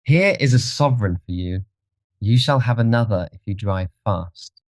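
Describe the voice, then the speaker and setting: Man playing a low-voiced commanding young gentleman. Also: lively intonation, moderate pace, no echo, close to an everyday voice. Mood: urgent, persuasive.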